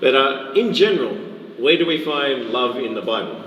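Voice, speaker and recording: A man's voice: mid-range voice, lively intonation, normal speed, highs cut off. A man hosting a casual live religious interview, informal and often repeating himself to confirm things. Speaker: host, interviewer, missionary. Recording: echoey hall, distant mic, faint noise.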